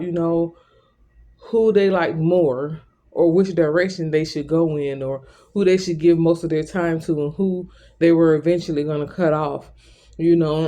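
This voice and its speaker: A man's voice: a little high, warm tone. A man giving an informal tarot reading, talking directly to the listener in a conversational, repetitive way. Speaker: tarot reader.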